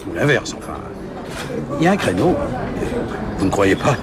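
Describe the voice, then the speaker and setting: A middle-aged male manager in a radio play, cynical and pleased with himself. His low voice holds forth pompously over loud crowd chatter. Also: very animated. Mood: mock serious. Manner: pompous, sententious, measured phrasing. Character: self-satisfied, cynical senior manager dispensing advice. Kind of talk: radio drama acting.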